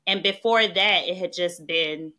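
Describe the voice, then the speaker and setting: A woman's voice: lowish voice, measured pace, sharp tone. A woman from Mississippi with a Southern accent, a podcast guest telling her story in a chatty way, with frequent "um" and "right?". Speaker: podcast guest, policy expert. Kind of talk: conversational interview.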